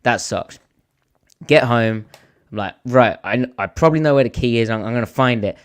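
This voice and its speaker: Hoarse man, chatty podcast host. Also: mid-range voice, moderate pace. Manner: rambling storyteller, animated when telling a story. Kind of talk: casual chat.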